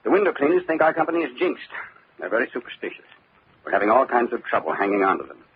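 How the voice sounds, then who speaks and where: An actor playing a worried, glum middle-aged company boss in an old-time radio drama, speaking in an anxious, resigned way. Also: male voice, mid-range voice, very animated, fast, slight background noise, close to an everyday voice. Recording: tinny old radio recording, phone-like band.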